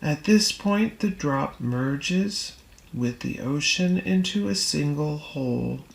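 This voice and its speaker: Female audiobook reader for spiritual text: unhurried, deliberate, mid-range pitch, recorded in a reverberant room. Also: expressive, gravelly.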